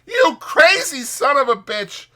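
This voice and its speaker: A man's voice: very high voice, very animated, measured pace, sharp tone, voice pushed up, heavily acted. A man voicing a short-tempered, exasperated migrant ranch worker, scolding in slangy rural American working talk. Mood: furious ranting.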